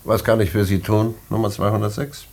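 An actor playing a stern, skeptical prison director in a German radio drama, speaking in an officious, clipped manner with a husky voice. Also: male voice, on the low side, little pitch movement, brisk, noisy background. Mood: brusque, questioning. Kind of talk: German post-war radio drama acting.

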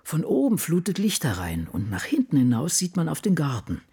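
A woman's voice: low voice, huge pitch swings, brisk, dark tone, husky, exaggerated voice. An actress playing a dour novelist in her sixties, musing in a dry, brooding way in a radio play. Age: 60s. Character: dour older woman novelist musing over her life. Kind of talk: radio play monologue, diary reading.

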